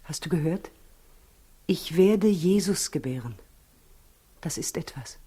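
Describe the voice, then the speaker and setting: An actress in a 1960s German radio play as an earnest expectant young wife, soft and breathy, pleading and insistent. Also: female voice, low-pitched, lively intonation, slow, heavily acted. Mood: pleading, intense.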